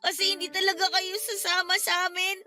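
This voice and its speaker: A woman's voice: very high voice, little pitch movement, brisk, sharp tone, soft background music, exaggerated voice. A woman playing a sweet, anxious young niece in a radio drama, speaking politely and pleadingly. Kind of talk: Tagalog radio drama acting.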